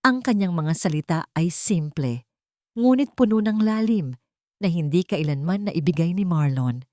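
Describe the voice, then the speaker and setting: A woman storyteller reading a scripted romantic drama in a sentimental, measured way. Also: on the low side, animated, a little mellow, no echo, studio quality.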